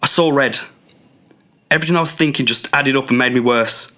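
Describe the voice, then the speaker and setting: A man's voice: medium pitch, fairly quick, bright, forceful tone, natural voice. A young man acting in a radio drama, playing a controlling, jealous boyfriend with an insistent way of talking. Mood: regretful. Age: young adult. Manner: accusing, insistent. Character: controlling, jealous young boyfriend, self-justifying and quick-tempered. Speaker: student actor.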